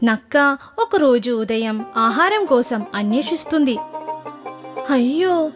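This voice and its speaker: A woman reading scripted narration for a children's animated story. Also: fairly high pitch, slow. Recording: music behind the voice.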